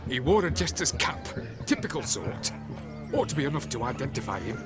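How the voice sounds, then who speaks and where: Loud music behind, guy doing a calm wry village dad from a game, mid pitch. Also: fairly quick, close to an everyday voice. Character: placid, wry middle-aged village father. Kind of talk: video game voice acting. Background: loud background music.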